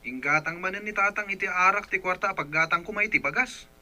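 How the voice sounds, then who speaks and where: A man's voice: high-pitched, fairly quick, sharp tone, dry recording. A man playing a troubled, complaining young brother in a radio drama. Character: troubled young sibling reporting the parents' quarrels. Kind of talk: Ilocano radio drama acting.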